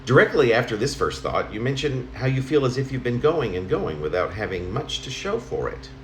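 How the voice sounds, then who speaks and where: A middle-aged or older man reading his own letter-like poem aloud in a halting, conversational way, correcting himself as he goes. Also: medium pitch, very animated, a bit bright. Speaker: poet reading own work. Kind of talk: spoken poem reading in the form of a personal letter. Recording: roomy home recording, faint noise.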